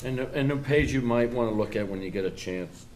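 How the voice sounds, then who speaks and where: A man explaining a financial report item by item at a public meeting, in a halting way, as a town finance official. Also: medium pitch, darkish tone. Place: town board meeting room. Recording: noisy public meeting room recording.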